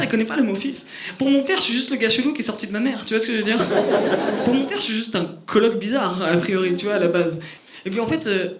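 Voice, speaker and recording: Young man, Parisian accent, stand-up comic, high voice, fast, self-deprecating, roomy club sound. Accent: Parisian French. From France. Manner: quick, self-mocking, with a youthful high voice. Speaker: stand-up comedian. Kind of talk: stand-up comedy set. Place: comedy club stage before a laughing audience. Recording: echoey club with audible background noise. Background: audience laughter.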